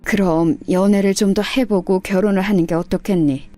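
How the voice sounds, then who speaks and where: A woman's voice: mid-range voice, steady tone, moderate pace, warm tone, dry recording, faint music behind, close to an everyday voice. A woman voicing a worried older father in story dialogue, paternal and coaxing. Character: worried older father, gently persuading, grumbling.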